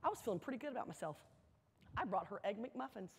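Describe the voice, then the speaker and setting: A woman giving a motivational speech, telling a personal story deliberately, with dramatic pauses and emphatic repetition. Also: mid-range voice, expressive, breathy edge. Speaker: keynote speaker. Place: large hall, speaking to an audience. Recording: echoey hall, long reverb.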